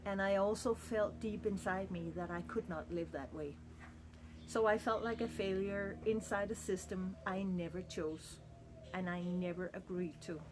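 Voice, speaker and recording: A woman's voice: mid-range voice, steady tone, measured pace, noisy background. A middle-aged woman from Denmark, speaking in a candid, earnest and reflective personal monologue. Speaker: vlogger.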